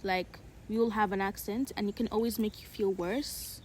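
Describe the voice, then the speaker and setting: A woman's voice: mid-range voice, fairly even intonation, moderate pace, darkish tone, slight background noise. A young woman, in her teens, with an American accent, reflective and casual, co-hosting a podcast chat with many filler likes. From Uganda. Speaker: podcast co-host.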